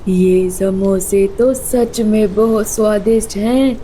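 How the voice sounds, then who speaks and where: Woman voicing a very slow-talking greedy cartoon bird bully. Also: mid-range voice, warm tone, slight background noise, pitched below the performer's own voice, lightly acted, poor audio. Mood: gloating. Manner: gloating, menacing, drawn-out musing to himself. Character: lazy greedy predator bird, male, scheming bully. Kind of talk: Hindi children's cartoon voice acting.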